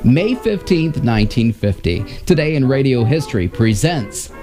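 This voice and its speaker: A man's voice: medium pitch, sing-song, moderate pace. A man reading scripted promotional narration as the presenter of a radio history programme. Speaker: presenter of old radio episodes. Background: loud music.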